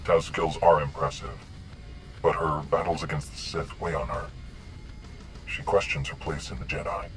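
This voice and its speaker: A man voicing a calm, authoritative military commander in a space fantasy video game. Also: rumbling low, normal speed, pauses often, over music. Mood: reassuring.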